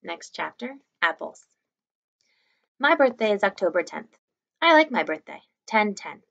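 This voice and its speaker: Creaky-voiced young woman reading aloud. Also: mid-range voice, sing-song, a little slow, a bit bright, highs cut off. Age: young adult. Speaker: teacher reading aloud to students. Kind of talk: expressive read-aloud of a children's novel. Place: small room indoors at home.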